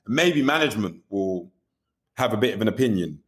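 A man's voice: on the low side, expressive, a little fast. A man being interviewed about directing music videos, talking candidly and animatedly, often asking "you know what I mean" and swearing casually.

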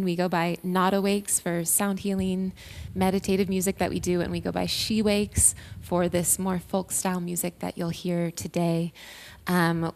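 A woman musician introducing herself as a guest, conversational, earnest and reflective. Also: fairly low pitch.

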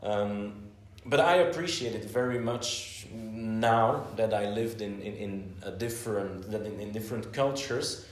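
A man's voice: on the low side, a little slow, hardly any pauses. Belgian man, Flemish accent, chatty. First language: Flemish Dutch. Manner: chatty, with many stuttered restarts. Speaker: guest. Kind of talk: casual conversation. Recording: roomy echo.

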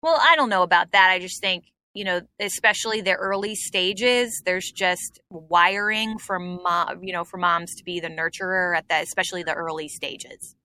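A woman's voice: lowish voice, moderate pace, few pauses, sharp tone. A woman comedian in her thirties, chatting casually about her influences and motherhood, rambling with fillers and restarts. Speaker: comedian and improv troupe member. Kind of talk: casual podcast chat.

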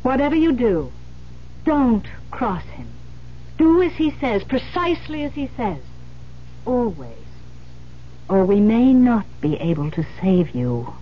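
A grave, commanding woman in an old radio drama. Her voice is fairly high and swings noticeably as she instructs, firm and deliberate. The recording is dull, with a faint hum. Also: very animated. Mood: grave, insistent. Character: grave, commanding woman coaching a frightened accomplice. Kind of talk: 1970s radio drama acting.

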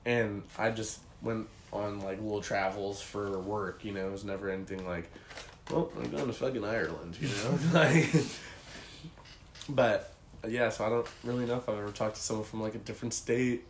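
A man's voice: on the low side, normal speed. A young man from the Pacific Northwest chatting casually and crudely with friends, laughing often. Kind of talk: casual chat among friends. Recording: echoey room with faint hiss.

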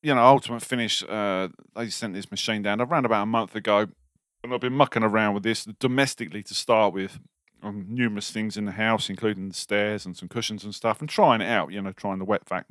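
A man's voice: on the low side, fairly even intonation, fairly quick, fairly bright tone, dry recording, clean studio sound. A man co-hosting a casual podcast, tired after a night shift, rambling with lots of ums and jokes. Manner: rambling, jokey, many ums. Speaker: podcast co-host. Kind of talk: casual chat.

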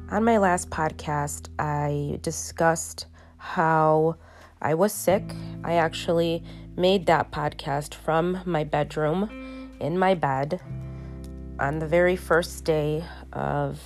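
A woman hosting her own podcast while sick, tired and congested, talking slowly in a halting way with many restarts and ums. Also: low-pitched, fairly even intonation, gravelly, a little mellow, faint music behind. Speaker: podcast host. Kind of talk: casual solo podcast monologue. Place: her bedroom at home.

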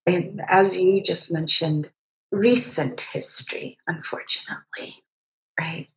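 A woman from Egypt conducting a literary interview, speaking reflectively and feeling her way through halting phrases. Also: lowish voice, fairly bright tone, low-quality recording.

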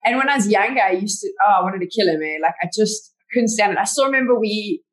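A South African woman talks as a podcast guest, telling long stories in a loose, chatty way. She fills her sentences with "like" and keeps a mid pitch. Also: animated, fast, low-quality recording. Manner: chatty storyteller, many "like" fillers. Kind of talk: casual interview chat.

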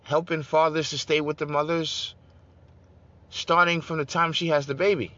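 A man's voice: a little high, level tone, normal speed, sharp tone, muffled recording. A man giving an emphatic political talk to his online audience, repeating his points and calling listeners "guys". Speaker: political speaker on a broadcast.

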